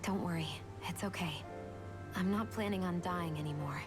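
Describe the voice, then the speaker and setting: Game heroine played by a woman: guarded yet resolute young woman, low-set soft voice, heartfelt, over prominent music. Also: normal speed, natural voice. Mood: reflective. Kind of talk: dramatic video game voice acting.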